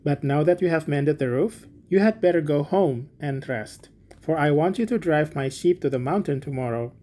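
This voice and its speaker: A man's voice: fairly high pitch, moderate pace, dark tone, close to an everyday voice. A man voicing a smug, sanctimonious miller in a storybook, pompous and preachy in his delivery. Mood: smug, condescending. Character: smug, sanctimonious, self-serving male miller. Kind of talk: storybook character voice.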